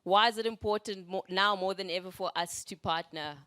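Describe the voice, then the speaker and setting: A woman's voice: medium pitch, a little fast, fairly bright tone, clear, resonant tone. A woman moderating a live panel at an event, upbeat and encouraging the audience to ask questions. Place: event venue.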